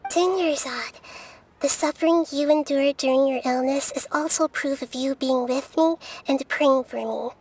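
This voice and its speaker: A woman playing a gentle, solemn childlike goddess in a video game, soft-spoken, earnest and formal. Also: high-pitched, normal speed. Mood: solemn gratitude. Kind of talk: scripted video game dialogue. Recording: music behind the voice.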